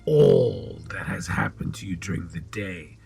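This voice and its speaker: A man playing a sly, sinister old potion seller in an audio drama, with a gravelly, husky voice and a deliberate, insinuating manner. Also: low voice, animated, warm tone, soft background music, full character voice.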